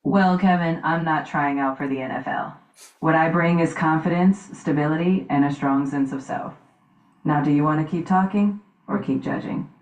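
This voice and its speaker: A woman's voice: low-pitched, moderate pace, echoey room, lo-fi sound, lightly acted. A woman voicing a confident single woman in her thirties who speaks in a self-assured, upbeat way. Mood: defiant. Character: confident single woman, 32, dating show guest.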